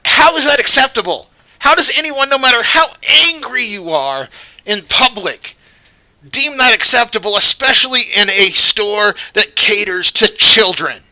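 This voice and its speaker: Guy vlogging his opinions, really bright sharp voice, kinda preachy and indignant. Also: sits high, animated, normal speed, low-quality recording. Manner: indignant, rambling, moralizing. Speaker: vlogger host. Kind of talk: commentary vlog.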